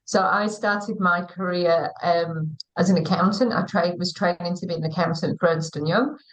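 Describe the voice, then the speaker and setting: A woman in her forties with an English accent, a school teaching director, telling her career story unhurriedly on a video call, with many pauses and fillers. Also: lowish voice, rough recording. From England. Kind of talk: conversational livestream interview.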